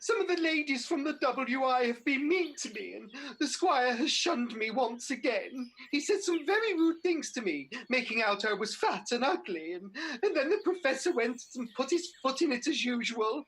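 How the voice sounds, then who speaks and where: A woman's voice: high-pitched, lively intonation, normal speed, few pauses, slight background noise, low-quality recording. A woman playing Mother Goose as a fussy, plaintive, vain and sweet pantomime dame in a radio panto. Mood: sad, self-pitying. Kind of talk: British radio pantomime acting.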